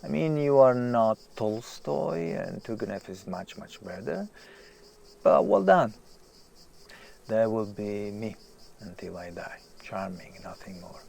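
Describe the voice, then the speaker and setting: An actor playing an anguished, self-doubting writer in an audio drama, intense and brooding, moving between hushed and emphatic delivery. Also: male voice, on the low side, sing-song, takes it slowly, heavily acted. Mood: self-mocking, frustrated, then hushed, bitter. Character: anguished, self-doubting famous male writer, restless and self-deprecating. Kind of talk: naturalistic audio drama monologue. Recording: clear background hiss, outdoor nature ambience.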